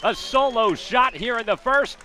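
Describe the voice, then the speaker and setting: A man with an American accent calling a baseball game as a radio play-by-play announcer, describing each pitch and the setting. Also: measured pace, sharp tone. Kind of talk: live sports commentary, descriptive radio play-by-play.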